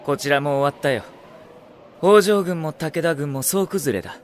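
An actor playing a calm, refined military strategist in an anime drama CD, polite and composed with a faintly mocking manner. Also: male voice, a little high, normal speed, a little hiss or hum, natural voice. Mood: composed, smug. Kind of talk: anime game drama CD acting.

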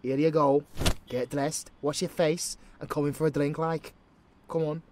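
Man playing a higher-voiced old British pub landlord. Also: level tone, unhurried, background noise, natural voice. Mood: brisk, teasing. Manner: chatty, blunt, sweary. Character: gruff, kindly old male pub landlord. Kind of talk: casual in-character audio roleplay.

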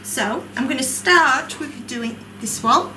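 A woman in her forties calmly demonstrating a recipe step by step as a cooking instructor, recorded from a distant camera in a large college kitchen classroom. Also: high-pitched, slow. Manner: calm, clear, instructive. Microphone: distant camera mic. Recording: echoey room, voice from afar. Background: kitchen clatter and room noise.

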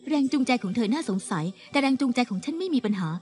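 A woman's voice: high voice, animated, quick pace, a little mellow. An actress playing a cold, confident young woman in a dubbed TV melodrama, assertive and dismissive. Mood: coldly defiant.

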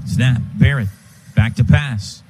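An American man calling live football on the radio as play-by-play announcer, in clipped phrases that build toward excited calls. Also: mid-range voice, slow. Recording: stadium booth with loud crowd noise.

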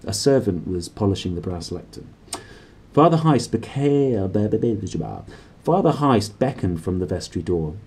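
Animated, low-voiced man reading a story. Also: unhurried, breathy edge. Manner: animated, dramatic phrasing. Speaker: book reader and presenter. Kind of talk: expressive storybook narration, read aloud. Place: small indoor room.

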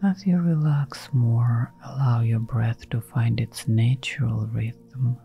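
A woman leads a guided relaxation at a very slow, unhurried pace. Her voice is mellow and soft, half-whispered with a creaky edge. She gives gentle, soothing instructions and leaves space between phrases, with music playing behind her. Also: very deep voice. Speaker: meditation guide. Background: background music.

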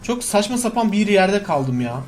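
Man, high voice, casual gameplay host, slangy exclamations, repetitive phrasing, faint music behind. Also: big pitch swings, moderate pace, a little mellow. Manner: exclamatory, slangy, repeats words. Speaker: gaming video host. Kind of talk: casual co-op gameplay commentary with a friend.

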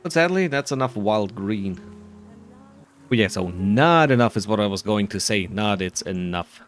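A man's voice: lowish voice, lively intonation, moderate pace, faint music behind. A man doing casual playthrough commentary, musing to himself in a dry, self-deprecating way. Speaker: gaming video creator.

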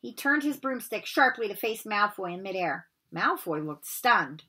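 Bright-voiced woman reading a story aloud. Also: mid-range voice, big pitch swings, normal speed, husky. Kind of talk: expressive children's novel read-aloud. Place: bedroom at home, indoors.

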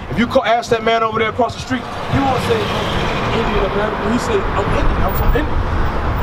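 A man in his thirties preaching outdoors by a street into a handheld mic, emphatic and full of rhetorical questions. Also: sits high. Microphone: handheld microphone. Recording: outdoor traffic noise. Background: passing cars.

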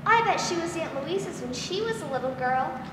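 A woman acts an eager, wide-eyed little girl in old radio drama style. The voice is extremely high and swoops expressively. It is heard in a noisy, echoey theater. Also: animated, normal speed, far from the mic. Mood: delighted. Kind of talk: 1940s radio drama acting. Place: school theater stage. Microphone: stand microphone, masked performer.

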